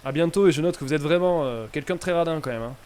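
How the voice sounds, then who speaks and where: A man's voice: a little high, rapid-fire, darkish tone, slight background noise. A man playing a doctor in a roleplay game, chatting, joking and swearing casually. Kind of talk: in-character roleplay banter, then casual talk to chat.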